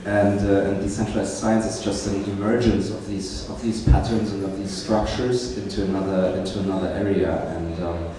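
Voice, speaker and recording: A man speaking as a venture investor on a conference panel, measured, with many "um" pauses. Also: low-pitched, level tone, crowd noise. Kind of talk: casual panel talk. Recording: echoey hall, distant mic, clear hum.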